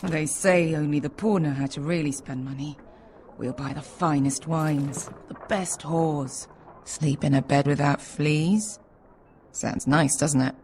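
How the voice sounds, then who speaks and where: A woman's voice: low voice, steady tone, unhurried, darkish tone, a little hiss or hum. An actress playing a brash, cynical female mercenary in a video game, blunt, sardonic and foul-mouthed in manner. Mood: scheming. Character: brash, cynical female sellsword, loyal but greedy. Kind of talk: video game character acting.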